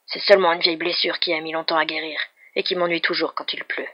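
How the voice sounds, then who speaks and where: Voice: medium pitch, little pitch movement, quick pace, lightly acted. A storybook narrator voicing a guarded, stubborn injured man with a reluctant, dryly teasing manner. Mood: reluctant, annoyed. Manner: reluctant, grumbling, dry. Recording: dull, muffled lo-fi recording.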